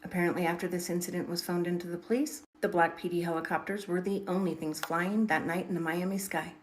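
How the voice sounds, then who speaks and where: A woman's voice: fairly low pitch, flat delivery, quick pace. A woman giving dramatic storytelling commentary, emphatic and repeating words for effect. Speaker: online video commentator.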